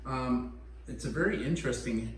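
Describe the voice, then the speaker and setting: A man's voice: medium pitch, a little hiss or hum. Male English teacher, encouraging, simple wording, echoey classroom, distant mic. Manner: encouraging, explains slowly and simply. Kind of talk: classroom feedback.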